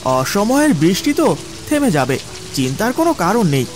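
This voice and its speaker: A man playing a loyal, knowing young village friend in an animated horror story, speaking with assured explanation. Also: high voice, moderate pace, over music. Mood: brisk, reassuring.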